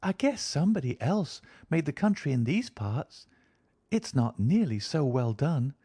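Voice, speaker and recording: A man reading an audiobook, voicing an innocent little frontier girl in a high, childlike rustic dialect. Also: big pitch swings, unhurried, mellow, slightly rough, dry recording, studio quality, heavily acted. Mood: eager. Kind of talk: audiobook character voice.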